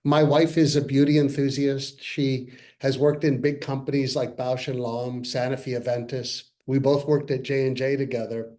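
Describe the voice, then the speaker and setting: A man's voice: mid-range voice, little pitch movement, moderate pace, hardly any pauses, a little mellow. Earnest man in his sixties on a call. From Canada. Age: 60s. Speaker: company co-founder presenting to investors. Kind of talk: webinar presentation. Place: parents' home, by video call.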